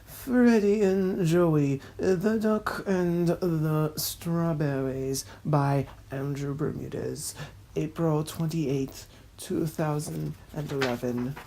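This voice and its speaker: Slow, low-pitched pompous female narrator. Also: dark tone. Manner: snobby, pompous, droning. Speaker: narrator, host of a dramatic reading series. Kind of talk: scripted mock-solemn narration and script reading.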